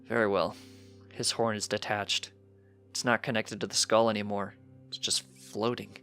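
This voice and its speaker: A man voicing a frank pony doctor in a story reading, measured, solemn and plain-spoken. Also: fairly low pitch, flat delivery, vocal fry, fairly bright tone, faint music behind. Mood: grave. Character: grave, frank pony doctor delivering bad news.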